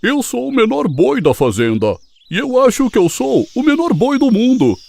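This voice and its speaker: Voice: mid-range voice, very animated, moderate pace, vocal fry, a little mellow, no echo. An actor voicing a small, unhappy ox who complains and doubts himself in a children's cartoon fable. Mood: plaintive. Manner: plaintive, self-doubting.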